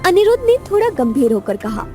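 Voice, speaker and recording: A woman with a very high voice narrates a romantic audio story. Her delivery is expressive and lively in pitch, with music playing underneath. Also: a little fast.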